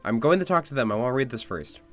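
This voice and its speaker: A man's voice: mid-range voice, very animated, fast. A man giving casual live game commentary as a streamer, musing aloud. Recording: music behind the voice.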